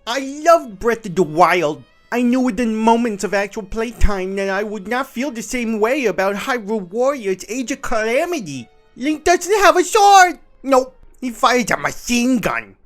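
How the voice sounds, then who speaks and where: An exasperated gamer played by a man whines in a voice pushed well up high. The complaints come out melodramatic, as a comic dramatic reading of a scathing review. Music plays underneath. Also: sing-song, moderate pace, few pauses, fairly bright tone, exaggerated voice. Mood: indignant.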